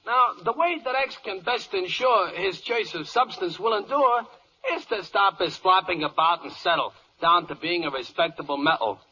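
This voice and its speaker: A man's voice: high-pitched, moderate pace, slightly rough, exaggerated voice. An actor playing an exuberant, giddy spokesman in singsong rhyming verse for an old radio comedy. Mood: giddy, excited. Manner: excitable, singsong rhyming patter. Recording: muffled, noisy old radio recording.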